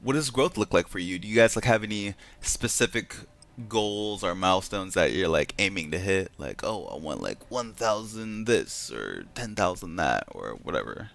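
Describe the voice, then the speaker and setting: A man's voice: sits low, sing-song, normal speed, creaky, a bit bright, faint music behind. A man co-hosting an interview podcast, chatting informally with slangy phrasing. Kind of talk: casual chat.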